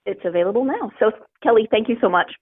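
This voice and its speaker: Husky-voiced woman host over a phone line. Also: on the high side, lively intonation, quick pace. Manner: chatty, laughs easily. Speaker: podcast host. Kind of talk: casual interview chat. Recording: dry tinny phone line.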